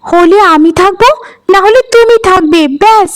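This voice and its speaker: A woman voicing a defiant, indignant younger co-wife in an animated folk tale, delivered with melodrama. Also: very high voice, normal speed.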